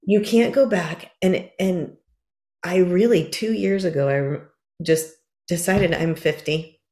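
A woman's voice: on the low side, a little slow, warm tone, roomy sound. A woman in her fifties chatting casually, rambling and self-deprecating, acting out her own words when she quotes herself. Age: 50s. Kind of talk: casual chat.

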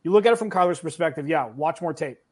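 A man rambles through his sports opinions on a podcast, full of "like" and filler words. His voice sits a little higher than most men's. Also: lively intonation, fast talker, a little mellow. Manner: rambling, lots of "like" and "um". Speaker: podcast guest. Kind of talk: casual sports chat.

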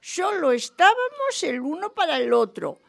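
An actress playing a gushing, rambling ninety-two-year-old widow who calls a radio quiz, in a comic radio play. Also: female voice, very high-pitched, very animated, normal speed, vocal fry, exaggerated voice. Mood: reminiscing, chatty. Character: chatty, lively 92-year-old widowed former nun and teacher. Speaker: quiz show caller. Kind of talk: comic radio theatre acting.

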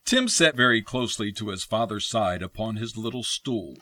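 A man's voice: lowish voice, expressive, moderate pace, bright, forceful tone, no echo. A man narrating a radio play in a scripted storybook style. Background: music.

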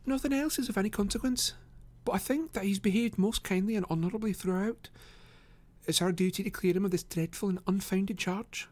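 Composed young gentlewoman, read by a man, lightly high-pitched, earnest, proud, kind, for a classic storybook. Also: a little fast, lightly acted. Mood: earnest concern.

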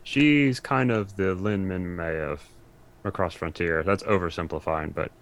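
A man's voice: low-pitched, measured pace, a little hiss or hum. A man talking as a podcast guest in a casual, conversational style. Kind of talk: casual chat about anime and games.

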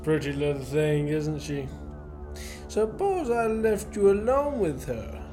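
A man acting in a student radio play as a courteous, menacing gentleman professor who is secretly a spy chief. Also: on the high side. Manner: courteous, genteel, theatrically menacing.

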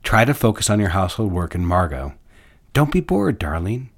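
A man acts out a busy businessman in a period audio drama. His voice is low, with a condescending, controlling manner. He is an impatient yet smooth-talking lover. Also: sing-song, moderate pace, heavily acted. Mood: affectionate, patronizing.

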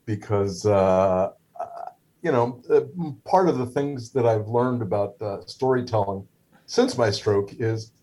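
Husky low-voiced man, halting wry storyteller. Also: mellow. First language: Hebrew. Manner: halting, many fillers and restarts, wry humor. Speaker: guest, stroke survivor. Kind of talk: casual conversational storytelling.

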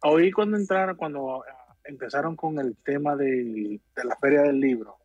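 A man's voice: a little high, moderate pace, darkish tone, husky, no echo, highs cut off. A man calling in to a radio show over an online audio connection, chatting casually. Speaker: radio caller. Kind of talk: casual chat.

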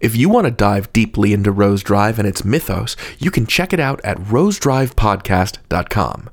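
A man's voice: on the low side, big pitch swings, normal speed. A man hosting a podcast, reading semi-scripted announcements in a playful, wry way. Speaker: podcast host.

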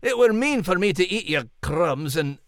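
A man's voice: high voice, animated, no room sound, studio quality, voice pushed up. A man voicing a good-hearted, sheepish and blunt male dog with a Scottish accent in a children's audio drama. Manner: blunt, folksy, halting when sheepish.

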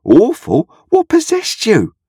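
A man's voice: lowish voice, sing-song, a little slow, darkish tone, breathy edge, no room sound, clean studio sound, pitched above the performer's own voice, full character voice. A man voicing a kindly, uneducated country blacksmith who speaks in an earnest, ruminative, ungrammatical rustic way. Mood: dismayed.

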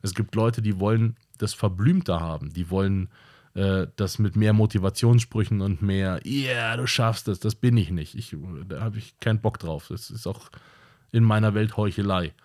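A man's voice: on the low side, normal speed, darkish tone. A man hosting his own podcast, talking in a casual monologue that is blunt and direct and repeats points for emphasis. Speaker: podcast host.

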